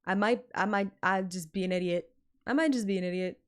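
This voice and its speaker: A woman chatting casually on a livestream in an animated, self-deprecating and sometimes sweary way. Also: medium pitch, a little fast, clear, resonant tone. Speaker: live streamer and amateur voice actor. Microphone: microphone at adjustable close distance.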